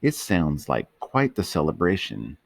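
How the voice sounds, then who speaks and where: A man's voice: low-pitched, wildly swooping pitch, unhurried, creaky, no room sound, heavily acted. A man voicing a scruffy male street dog in a storybook reading, curious and a bit confused.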